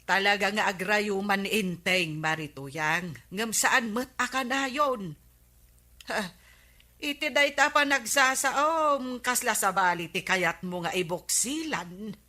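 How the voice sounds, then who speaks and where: A woman playing a sharp-tongued, suspicious village wife in an Ilocano radio comedy drama, with an Ilocano accent and pointed, indignant questioning. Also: medium pitch, takes it slowly.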